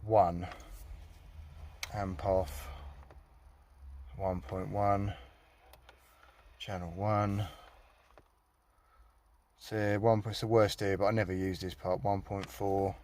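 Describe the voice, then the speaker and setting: A British man with a fairly low voice talks through hands-on work on his car. He is informal and colloquial, thinking aloud as he goes. The recording sounds like a handheld camera in and around the car, with light background noise. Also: flat delivery, slow, pauses often, darkish tone. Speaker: hobbyist vlogger. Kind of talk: casual hands-on walkthrough.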